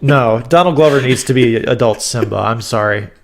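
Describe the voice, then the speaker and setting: A man's voice: medium pitch, moderate pace, vocal fry. A man hosting a casual podcast while congested, his voice nasal and stuffed up. He rambles, doubles back and restarts his sentences as he works through his thoughts. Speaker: podcast host.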